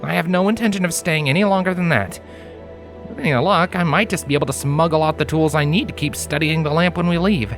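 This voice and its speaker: A man voicing a calm, earnest, scholarly male pony with a polite, matter-of-fact manner in an audio story. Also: fairly high pitch, brisk, faint music behind, pitched above the performer's own voice, natural voice. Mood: serious. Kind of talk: audiobook character voice.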